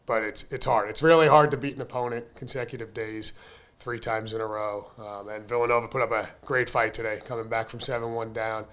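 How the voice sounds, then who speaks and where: A man in his thirties speaks into a desk microphone at a postgame press conference. He answers as a baseball coach, candid and conversational, with plenty of "um" and "you know". His voice sits at an ordinary middle pitch and rises with energy on key points. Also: fairly quick. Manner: candid, conversational, frequent fillers, energetic emphasis. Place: press room in front of a sponsor backdrop. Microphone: desk mic on a stand.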